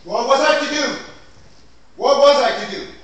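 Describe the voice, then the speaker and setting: Casting: man as pleading defendant, very high bright voice, theatrical and loud. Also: moderate pace. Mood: desperate. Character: desperate defendant pleading self-justification in court. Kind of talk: theatrical courtroom drama. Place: indoor theatre stage. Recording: echoey theatre, distant mic.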